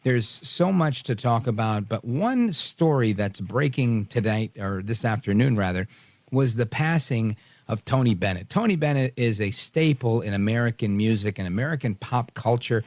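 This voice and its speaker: A man's voice: mid-range voice, lively intonation, normal speed, a little mellow, dry recording. A man hosting a late night talk radio show, greeting listeners with energy and leaning on fillers as he talks. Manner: upbeat, hypes the audience, many fillers.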